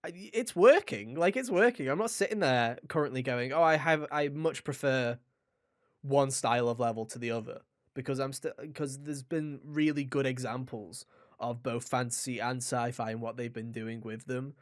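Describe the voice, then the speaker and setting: A man chats as co-host of a game review podcast, in a mid-pitched voice. He is casual and enthusiastic, rambling along in an animated way. The sound is dry, with hardly any room on it. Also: normal speed, no echo.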